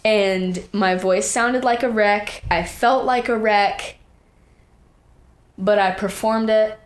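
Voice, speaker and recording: A teenage young woman, a guest singer, telling stories in casual, animated chat full of quoted asides. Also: mid-range voice, slow pace.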